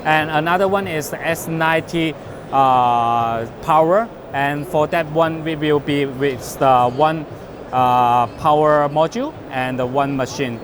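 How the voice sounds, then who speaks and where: A man who is not a native English speaker gives an unscripted product demonstration as a company representative, with halting phrasing and frequent uhs. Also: fairly high pitch. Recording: clear urban or vehicle background noise.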